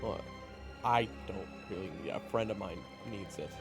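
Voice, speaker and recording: A man playing an earnest, easily flustered adventurer in a fantasy audio roleplay, excitable and prone to stammering. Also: low voice, normal speed. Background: music behind the voice.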